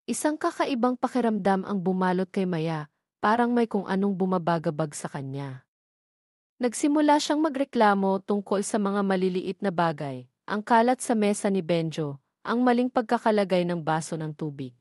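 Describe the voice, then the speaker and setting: Filipina storyteller, dry close recording. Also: female voice, mid-range voice, animated, moderate pace, darkish tone, no room sound. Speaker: narrator. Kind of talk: scripted audio drama story narration.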